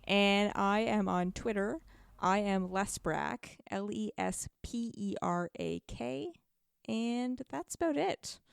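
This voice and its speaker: A woman's voice: medium pitch, slow pace, gravelly, smooth, dry recording, clean studio sound. A woman guesting on a podcast, chatting casually in an informal, emphatic way.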